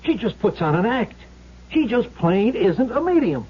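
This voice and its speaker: Whining husband, very raspy, excitable swoops, protesting, radio drama. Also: male voice, high voice, moderate pace, heavily acted. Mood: flustered protest. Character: flustered, whining idle husband of fake medium. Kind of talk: 1970s radio drama acting. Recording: muffled vintage radio broadcast.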